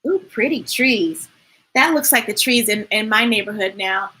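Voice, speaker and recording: A woman hosting a children's storytime, reading aloud in an expressive, playful, emphatic way with chatty asides to viewers. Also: medium pitch, normal speed, breathy edge.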